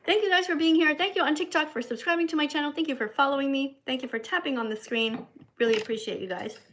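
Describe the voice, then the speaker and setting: A woman's voice: high voice, fast talker, background noise. A woman hosting a casual livestream, chatty as she reads viewer comments aloud. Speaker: livestream host.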